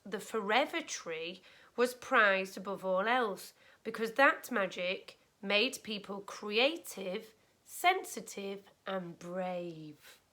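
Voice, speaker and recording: A woman teacher reading a storybook aloud to a class of children, expressively and with deliberate, explanatory care. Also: mid-range voice, sharp tone. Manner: clear, deliberate explaining to young listeners.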